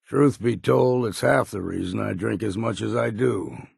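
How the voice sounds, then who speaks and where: A grizzled old male hunter and guide for a video game. The voice is low and thick with rasp, close and dry. He talks in a folksy, laconic way, like a hard drinker who knows the wilds. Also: moderate pace, darkish tone, dry recording, exaggerated voice. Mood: wry, grim. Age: older. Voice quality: gravelly, raspy. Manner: folksy, dropped endings, laconic. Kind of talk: video game character acting.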